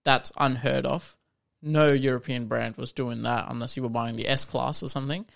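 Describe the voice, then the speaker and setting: Gravelly, enthusiastic male podcast co-host. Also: mid-range voice, fairly even intonation, normal speed, fairly bright tone. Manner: enthusiastic, interrupts, laughs often. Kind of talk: casual chat.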